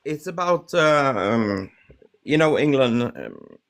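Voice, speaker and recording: A nervous man with a Norwegian accent calling into a radio phone-in. He talks in halting English, with many fillers and restarts. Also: medium pitch, big pitch swings, creaky, a bit bright. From Norway. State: shaky with nerves. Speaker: caller.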